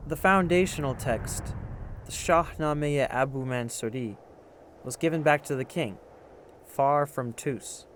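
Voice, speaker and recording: A man narrating a historical tale as a storyteller, with theatrical, measured delivery. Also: medium pitch, a little hiss or hum. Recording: music under the voice. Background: musical instruments playing.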